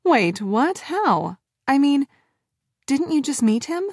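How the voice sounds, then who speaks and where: A woman's voice: high voice, sing-song, takes it slowly, a little mellow, no echo, clean studio sound, pitched above the performer's own voice, exaggerated voice. A woman voicing a flustered, skeptical woman of thirty, raising her voice with surprised questions. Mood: incredulous. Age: 30s.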